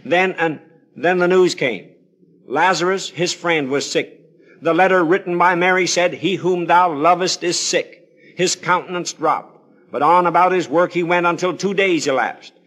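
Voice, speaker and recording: A man's voice: high-pitched, normal speed, fairly bright tone. A man preaching on the radio in a solemn, pleading, theatrical manner, telling Bible stories with formal, old-fashioned scriptural phrasing. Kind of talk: dramatic evangelistic sermon storytelling.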